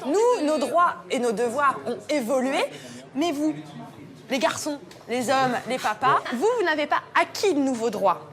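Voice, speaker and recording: A woman's voice: high voice, expressive, a little slow, a bit bright. A woman in a heated group debate, pressing her point with repeated questions and the odd swear word. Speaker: group discussion participant.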